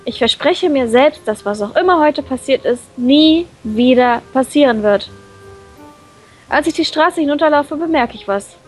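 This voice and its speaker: A woman's voice: sits high, animated, normal speed. A woman reading a visual novel aloud in a casual way, as a co-reader in a let's play. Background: background game music.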